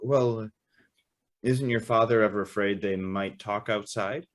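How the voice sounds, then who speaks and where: A man's voice: lowish voice, steady tone, a little slow, lightly acted. A man playing an earnest, hesitant young man in love in an old-time radio style drama. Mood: uneasy. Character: earnest, smitten young man, increasingly horrified guest.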